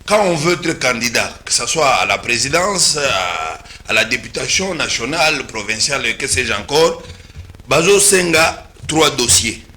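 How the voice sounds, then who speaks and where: A man with a Congolese French accent, calmly explaining election law as a guest commentator in a talk-show discussion and slipping into Lingala now and then. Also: medium pitch, measured pace, a little hiss or hum. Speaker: political commentator. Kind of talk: studio political discussion.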